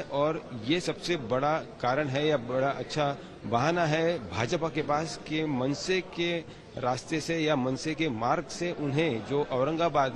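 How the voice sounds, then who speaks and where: A man giving a live political news report as a field reporter. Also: on the high side. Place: outdoors at a rally site. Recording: outdoors with crowd noise behind.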